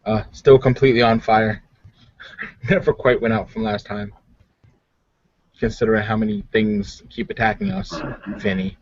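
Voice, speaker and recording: A man's voice: lowish voice, little pitch movement, moderate pace, pauses often. A man bantering casually and wryly as a player in a tabletop roleplaying game over online voice chat. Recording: dull, dry lo-fi voice chat.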